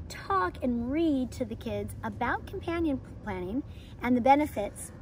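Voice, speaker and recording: Female homeschool host, expressive and enthusiastic, high voice with lively swings, some background noise. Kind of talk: children's lesson and picture-book read-aloud.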